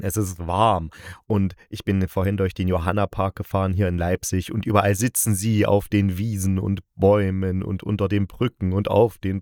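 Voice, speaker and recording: A man's voice: sits low, darkish tone, no room sound, studio quality. A man, a podcast host casually reviewing radio plays in a rambling, playful, colloquial way.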